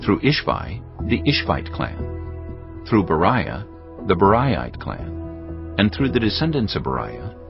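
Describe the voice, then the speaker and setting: A man narrating scripture from a script in a solemn, deliberate manner. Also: deep voice, huge pitch swings, gravelly. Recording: slightly muffled, music underneath. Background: background music.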